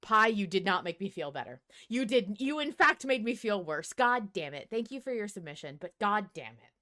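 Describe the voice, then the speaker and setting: A woman hosting an animated fan discussion, talking emphatically with plenty of swearing and rhetorical questions. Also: medium pitch, normal speed. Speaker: podcast host.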